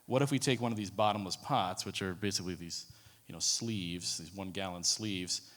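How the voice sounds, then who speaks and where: A man with a low voice presents to a room, speaking casually and filling pauses with ums and false starts. His voice carries a long hall reverb. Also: a bit bright. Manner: casual, many ums and restarts. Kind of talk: conference talk. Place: conference room.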